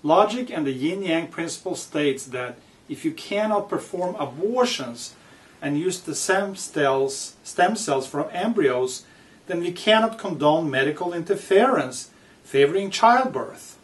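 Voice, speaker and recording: Male author-reader, fairly high register, emphatic and measured delivery with spoken asides, slightly distant microphone. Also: expressive. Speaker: author reading own book aloud with commentary. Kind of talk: reading aloud with spoken commentary.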